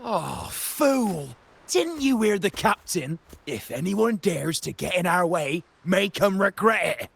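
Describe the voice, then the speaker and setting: A greedy, boastful pirate lackey from a video game, with a high, husky, creaky voice. He draws his words out like a theatrical teller of tall sea tales. Music plays behind him. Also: very animated, slow pace, fairly bright tone, heavily acted. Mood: pleading, scheming. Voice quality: raspy, gravelly. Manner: theatrical, exaggerated storytelling. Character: boastful, cowardly, greedy male pirate henchman spinning sea legends. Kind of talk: video game character acting.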